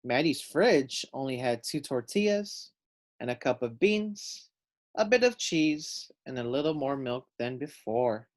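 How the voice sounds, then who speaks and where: A man reads a picture book to young children. He takes his time, swings his pitch in a lively way and explains things simply as he goes. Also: a little slow. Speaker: storybook reader. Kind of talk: children's read-aloud narration with asides.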